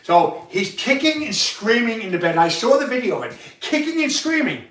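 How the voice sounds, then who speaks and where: A man with a loud voice hosting a livestream, telling a rambling personal story with halting restarts and repeated words. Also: very high-pitched, sing-song. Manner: repetitive, halting, many restarts. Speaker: livestream host. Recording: roomy sound, far from the mic.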